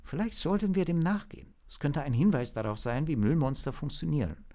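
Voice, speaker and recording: Guy doing a hesitant female agent in an audiobook, thoughtful, tentative, higher lively pitch. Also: brisk.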